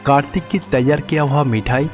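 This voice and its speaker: A man's voice: medium pitch, a little fast. A man narrating a scripted devotional storybook tale as the narrator. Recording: long reverb, background music.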